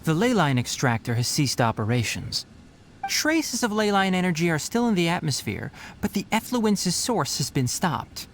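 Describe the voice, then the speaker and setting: An actor playing a young male forest ranger scholar in a video game, articulate, matter-of-fact and quietly authoritative. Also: on the high side, sing-song, normal speed, a little hiss or hum. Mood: firm. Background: game music.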